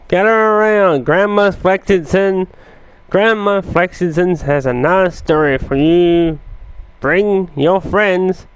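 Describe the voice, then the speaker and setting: A man's voice: high-pitched, takes it slowly, clear, resonant tone. A man doing let's play commentary, mumbling asides and reading on-screen text aloud. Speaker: gamer.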